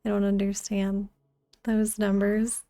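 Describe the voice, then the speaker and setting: Mellow woman streamer, close and dry. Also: medium pitch, completely flat delivery, slow pace, no room sound, faint music behind. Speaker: game streamer. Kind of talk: casual livestream chat and commentary.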